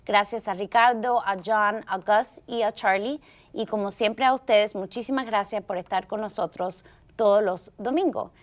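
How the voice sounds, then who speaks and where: A woman's voice: medium pitch, normal speed. A woman hosting a Sunday television interview show, courteous and admiring toward her guest. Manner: courteous, admiring, formal introductions. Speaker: host.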